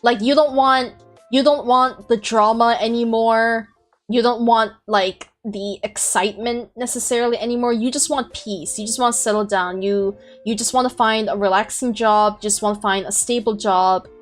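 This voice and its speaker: A woman in her twenties hosts a casual podcast chat in a fairly high voice. She rambles and reflects aloud, circling back with fillers and restarts as she works through her thoughts. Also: steady tone, normal speed, soft background music. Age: 20s. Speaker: podcast host. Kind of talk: casual chat on a group call.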